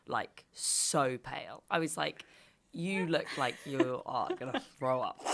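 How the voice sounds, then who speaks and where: A woman in her twenties with a croaky voice, chatting and telling stories on a podcast. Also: deep voice, big pitch swings, slow. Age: 20s. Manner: chatty storyteller, laughs a lot, acts out quotes. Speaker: podcast co-host. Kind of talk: casual chat.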